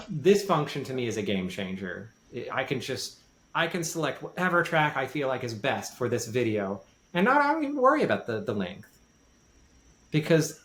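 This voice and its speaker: A man's voice: mid-range voice, a bit bright. A man talking casually and explaining in detail, often repeating and rephrasing for emphasis. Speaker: podcast guest with a music background. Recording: roomy, echoey sound.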